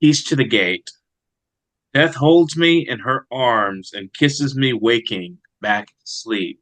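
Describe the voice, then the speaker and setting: A man speaking as a poet in an interview, reflective and measured in his manner. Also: mid-range voice, expressive, a bit bright, rough recording. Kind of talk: interview answers and poetry reading.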